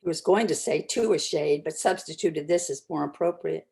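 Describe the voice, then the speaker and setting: A woman reading classic literature aloud as narrator, with expressive, deliberate dramatic storytelling. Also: sits low, vocal fry. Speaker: narrator, community reader.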